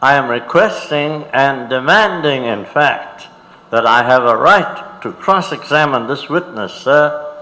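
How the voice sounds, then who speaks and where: A man's voice: mid-range voice, lively intonation, bright, forceful tone. A man playing a defiant defendant who insistently demands his rights in a staged courtroom reading in a reverberant hall. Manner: insistent, deliberate. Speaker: reader in staged documentary. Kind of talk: dramatic reading of court transcript. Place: large auditorium. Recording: reverberant auditorium.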